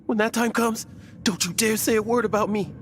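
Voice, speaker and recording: A man's voice: sits high, fairly even intonation, brisk, fairly bright tone, slight background noise. A man voicing a cowardly, panicked guard in a video game, stammering and frantic. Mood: fearful, spiteful. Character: panicked cowardly male guard, pleading and threatening.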